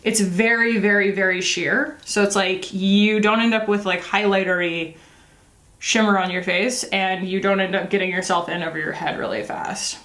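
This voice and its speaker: A woman's voice: medium pitch, fairly even intonation, normal speed, fairly bright tone, roomy sound. A woman in her thirties, a beauty vlogger chatting casually and rambling while she does her makeup, with lots of fillers and self-deprecating asides.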